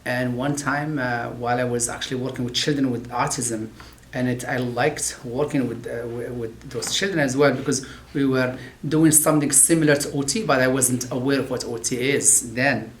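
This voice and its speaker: A man's voice: mid-range voice, steady tone. Brief: middle-aged non-native English man, professor in an interview, hesitant with constant "uh"s, echoey room, light hiss. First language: not English. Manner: halting, many "uh" fillers and restarts. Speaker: occupational therapy professor. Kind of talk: interview talk about his field.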